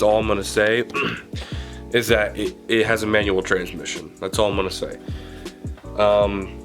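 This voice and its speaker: A young man with a stuffy, congested voice talks on the low side. He rambles in a loose, casual way and drops the odd swear word. Faint music plays under his voice. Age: young adult. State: stuffed up from a cold. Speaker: car vlogger. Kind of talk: casual vlog walkaround. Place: outdoors in a driveway by a truck.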